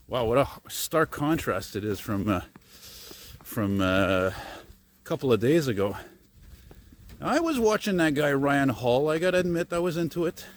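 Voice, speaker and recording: Rambling older man with a baritone voice. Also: a little hiss or hum. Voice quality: deep baritone. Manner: chatty and meandering, with mild swearing and self-deprecating asides. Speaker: vlogger. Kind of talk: rambling walking vlog. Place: outdoors on snowy city streets. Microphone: phone on a selfie stick.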